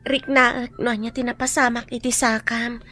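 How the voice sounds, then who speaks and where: A woman playing a patient, kindly village massage healer with a Filipino Ilocano accent in a radio drama, soothing and deliberate. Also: fairly high pitch, little pitch movement, sharp tone, faint music behind. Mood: soothing, businesslike. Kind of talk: radio drama comedy acting.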